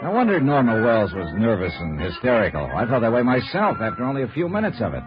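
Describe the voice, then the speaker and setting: A man's voice: medium pitch, wildly swooping pitch, fast talker. A man playing a cool, wry American insurance investigator in 1950s noir radio, with a clipped, dry, understated manner. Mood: weary, brooding. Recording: dull vintage radio recording with music.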